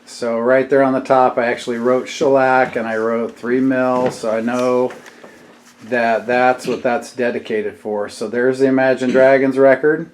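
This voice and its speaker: A man's voice: medium pitch, little pitch movement, a little slow. A man hosting a casual home vlog, explaining things in a teacherly way and putting questions to his kids. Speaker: YouTube channel host and father. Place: room at home. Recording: echoey room with faint background noise.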